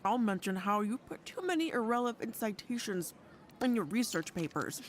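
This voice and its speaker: A woman acting a breezy, teasing, loyal fighter in a sci-fi audio drama, speaking in a casual, slangy, expressive way. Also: medium pitch, normal speed, a little hiss or hum, close to an everyday voice. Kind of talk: naturalistic audio drama dialogue.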